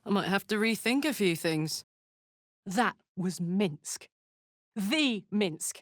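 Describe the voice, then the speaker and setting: Video game tiefling fighter, female: blunt, battle-hardened, big-hearted, with wide swings of pitch and a close, dry studio sound. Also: animated, slow, no room sound. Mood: casual, ready. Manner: blunt, informal, emotionally open soldier's talk. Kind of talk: video game character voice acting.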